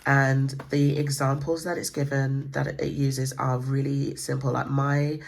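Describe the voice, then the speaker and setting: A woman with a croaky voice from a cold, chatting casually through a book review, with lots of ums. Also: a bass voice, a little slow. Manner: chatty, many ums, relaxed explaining. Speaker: homeschooling channel host. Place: indoors at a table.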